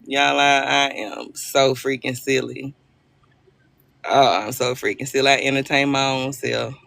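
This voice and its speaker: A chatty, playful woman talks to her viewers over a live stream, her voice gravelly with a bright, forceful edge. She laughs easily and greets people by name. The phone recording is close and dry. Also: a bass voice, steady tone, a little slow, no room sound. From Arkansas, US South. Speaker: livestream host.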